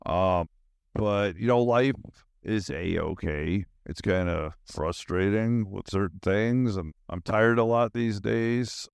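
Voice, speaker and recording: A middle-aged man with a low-pitched voice hosts a podcast in a rambling, personal style. His talk is informal and slangy, meandering from thought to thought. The sound is dry, with very little room to it. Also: slow, gravelly, clean tone, dry recording. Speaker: podcast host. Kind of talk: rambling casual personal monologue.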